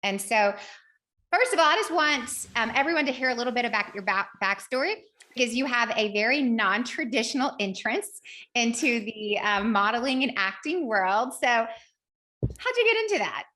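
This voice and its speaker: A woman's voice: on the high side, animated, moderate pace, pushed and bright. A woman co-hosting a podcast, chatting in an effusive, gushing way as she introduces and interviews. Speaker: podcast co-host.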